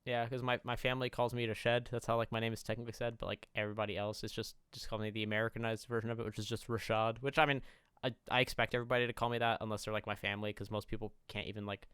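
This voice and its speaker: A man's voice: fairly low pitch, flat delivery, fairly bright tone, clean tone, no room sound, studio quality. A man in his early twenties co-hosting a podcast, chatting casually and swearing freely. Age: 20s. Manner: quick, swears, laughs a lot. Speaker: podcast co-host. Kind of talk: casual chat.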